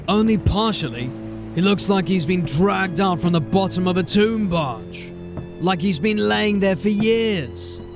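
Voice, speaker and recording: An actor playing a blunt, commanding veteran sergeant of a city watch. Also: male voice, sits high, lively intonation, takes it slowly, exaggerated voice. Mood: grim. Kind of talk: audio drama acting. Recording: music bed under the voice. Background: music.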